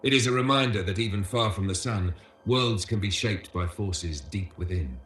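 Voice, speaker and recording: A man giving scripted educational documentary narration as a tour guide, in a measured, solemn manner. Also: on the low side, animated, fairly bright tone, echoey room, slight background noise. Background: music.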